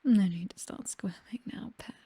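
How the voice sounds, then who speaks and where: A British woman playing a possessive, seductive vampire mistress in an intimate ASMR roleplay, with a breathy whisper and a languid, condescending, aristocratic way of teasing. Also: mid-range voice, normal speed, warm tone, no room sound. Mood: predatory, seductive.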